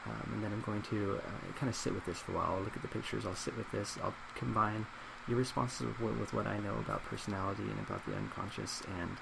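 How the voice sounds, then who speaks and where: A man in his twenties speaking in a soft ASMR role play as a psychologist, hesitant, measured and reassuring, recorded in a small office. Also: fairly low pitch, fairly even intonation, creaky. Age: 20s. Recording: loud laptop fan noise.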